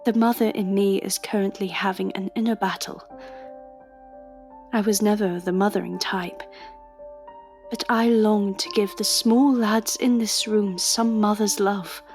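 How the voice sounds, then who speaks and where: A mid-pitched female voice from an actor narrates as an undercover police agent in an audio drama. She is wry, confiding and motherly, taking her time over soft music. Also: steady tone, measured pace, close to an everyday voice. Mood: tender, pained. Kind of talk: scripted first-person drama narration.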